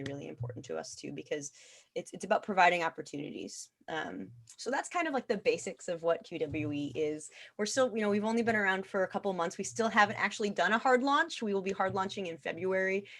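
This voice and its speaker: A woman's voice: medium pitch, expressive, fast talker, fairly bright tone. Emphatic woman as webinar guest. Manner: emphatic, repeats phrases for stress. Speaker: guest physician and nonprofit founder. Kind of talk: casual webinar talk about esports advocacy.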